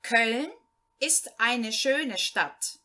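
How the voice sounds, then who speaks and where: Female teacher reading a male learner-text character; slow, lively, bright. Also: fairly high pitch, full character voice. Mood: plain. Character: man introducing himself in a beginner text. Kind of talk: read-aloud language lesson.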